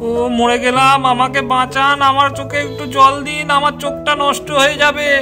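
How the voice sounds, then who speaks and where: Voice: high-pitched, little pitch movement, fairly quick, music in the background, voice pushed down, natural voice. A performer, a woman, voicing a male thief in a doll story, a blustering bully who wails and pleads. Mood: pleading, whining. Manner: threatening, loud wailing and pleading.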